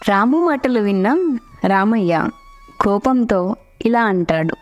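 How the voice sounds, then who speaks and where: A woman's voice: medium pitch, measured pace, soft background music. A woman narrator reading a scripted story.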